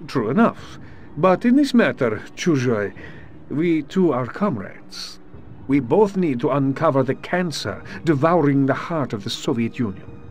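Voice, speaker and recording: An actor playing a sly KGB agent in a game, formal and poetic, with a Russian accent. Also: male voice, medium pitch, big pitch swings, unhurried. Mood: conspiratorial. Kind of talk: video game cutscene acting.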